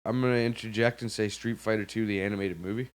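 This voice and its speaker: A man's voice: lowish voice, fairly even intonation, quick pace, smooth. A man co-hosting a podcast in casual banter, blunt and opinionated, with a sardonic, sweary way of talking. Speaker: podcast co-host.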